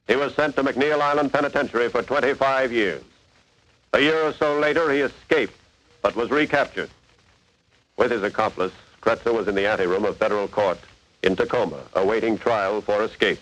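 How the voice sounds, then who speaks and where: Man as crime show narrator, medium pitch, stern, emphatic, deliberate, 1940s radio recording. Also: a bit bright, natural voice, rough recording. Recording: vintage 1940s radio broadcast.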